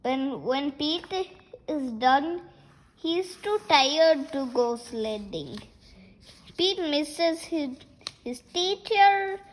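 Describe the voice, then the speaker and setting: A six-year-old child reading picture books aloud in a halting, word-by-word way, as the host of a story time. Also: high voice, animated, poor audio. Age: child, 6 years old.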